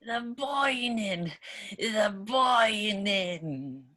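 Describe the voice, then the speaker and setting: A man voicing a brash, excitable talking cartoon car with a raspy voice in an amateur script read. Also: high voice, very slow, heavily acted. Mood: pained. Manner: exclamatory, wisecracking.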